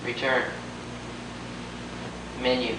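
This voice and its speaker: A man speaking isolated one-word commands to a computer as a user in a software demonstration. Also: medium pitch, little pitch movement, very slow. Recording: echoey, noisy 1980s demo tape with hum.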